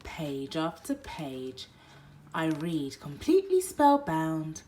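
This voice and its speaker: A woman reading a picture book aloud for toddlers, expressive, moving between hushed whispers and loud exclaims. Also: low voice, takes it slowly, a little hiss or hum, poor audio. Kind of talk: read-aloud bedtime story for toddlers.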